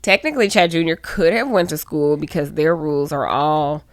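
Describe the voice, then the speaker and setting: Chatty woman, lowish voice. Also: moderate pace, no echo, clean studio sound. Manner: chatty, colloquial, animated asides. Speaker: podcast co-host. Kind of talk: casual chat.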